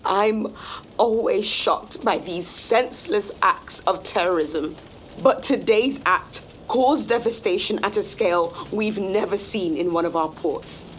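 A woman's voice: mid-range voice, unhurried, a little hiss or hum, close to an everyday voice. A woman playing a resolute, formal corporate executive giving a public statement in an audio drama. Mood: grave, resolute. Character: stern, hard-line female corporate executive giving statement.

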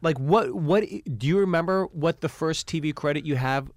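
A man's voice: fairly high pitch, moderate pace, no room sound, clean studio sound. A man co-hosting a podcast, asking a guest about his career in casual chat. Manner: animated, asks questions. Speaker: podcast co-host.

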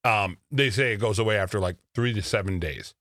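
A man's voice: lowish voice, brisk, a bit bright, no room sound, clean studio sound. A man co-hosting a radio show, talking in a slurred, lisping way because of a device in his mouth, laughing often as he chats. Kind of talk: casual banter.

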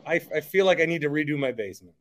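Male host, talkative and emphatic, pitched a little high. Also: expressive, racing. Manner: chatty and emphatic, steering the guest. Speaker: podcast host. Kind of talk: casual interview chat.

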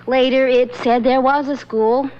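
An actress playing a nervous young secretary who hesitates and stammers in a radio drama. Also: female voice, high voice, steady tone, unhurried. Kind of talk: 1970s radio drama acting. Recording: dull lo-fi radio recording.

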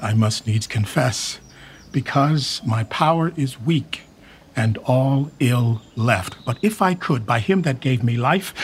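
An actor playing a weary royal uncle in a Shakespeare radio drama, speaking verse in a measured, declamatory way. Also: male voice, mid-range voice, a little hiss or hum, close to an everyday voice. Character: aging royal uncle, weary and torn, reluctantly neutral. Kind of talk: Shakespearean stage acting for radio.

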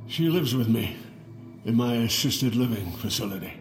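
A man's voice: medium pitch, animated, moderate pace, close to an everyday voice. An actor in an audio drama playing a wry, world-weary old twin brother in his sixties. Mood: wry, moved. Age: 60s. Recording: roomy echo, music underneath.

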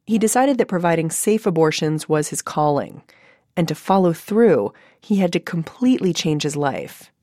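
A woman's voice: sits low, expressive, normal speed, warm tone, no echo. An American woman hosting a podcast, narrating from a script and interviewing, recorded on a close studio microphone. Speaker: podcast host.

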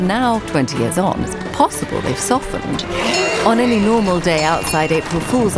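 A woman playing a haughty, sardonic, anxious skeptic of the 19th century in an audio drama, wordy and theatrical. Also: lowish voice. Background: loud music.

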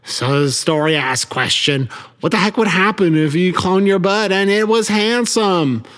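A man hosting a podcast, introducing the show in a halting, folksy, oddly worded way with frequent stammers. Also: high voice, fairly bright tone, big-room sound. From Billings, Montana. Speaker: podcast host. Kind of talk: quirky scripted host monologue.

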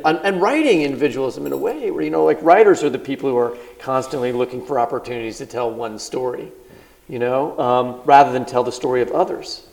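Male co-host, live stage podcast, eager chatty delivery, slightly higher pitch. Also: gravelly, a little mellow, rough recording. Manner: eager, chatty, laughs easily, runs a game. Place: stage at a live book festival event.

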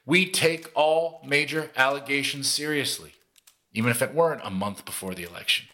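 An actor playing a testy state governor in an audio drama, speaking in brusque political oratory. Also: male voice, medium pitch, normal speed, pushed and bright, lightly acted. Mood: grave, measured. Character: testy male state governor at a press conference.

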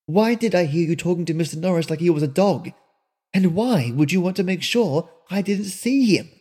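A man voicing a high, anxious young woman. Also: animated, moderate pace, warm tone, breathy edge. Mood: anxious, demanding. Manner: pressing, questioning.

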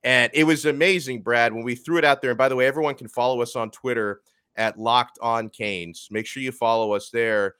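A man in his thirties hosting a sports podcast in a casual, energetic chatty way. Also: medium pitch, a little fast, clear, resonant tone, no echo, clean studio sound. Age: 30s. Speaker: podcast host.

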